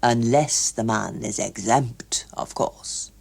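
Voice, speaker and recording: A woman's voice: rumbling low, takes it slowly, creaky, a little mellow, husky, voice pushed down, full character voice. A woman voicing a cheery, pedantic middle-aged male office worker in an audiobook, explaining emphatically with small hesitations. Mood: earnest, pedantic. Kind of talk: audiobook character voice.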